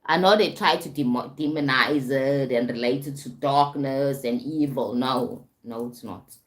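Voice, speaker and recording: A woman with a very deep voice, talking casually on a live stream as a spiritual coach, often repeating herself and checking in with "right?". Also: unhurried. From South Africa. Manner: repetitive, frequent "right?" check-ins. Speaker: livestream host, spiritual coach.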